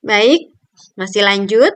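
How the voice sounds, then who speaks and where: A woman's voice: medium pitch, lively intonation, slow, a bit bright, clear, resonant tone, no echo. A young adult woman reading a scripted article review in a careful, point-by-point manner, like a student presenter.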